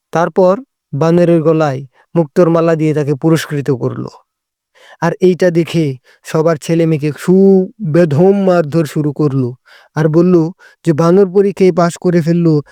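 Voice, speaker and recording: A man's voice: fairly high pitch, mellow, dry recording, studio quality. A man narrating a scripted cartoon fable as a storyteller.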